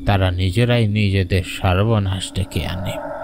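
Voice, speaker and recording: A man voicing a grave old ghost in a horror cartoon, giving slow, solemn warnings in a deep voice. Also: steady tone, gravelly. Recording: noisy ambience behind the voice.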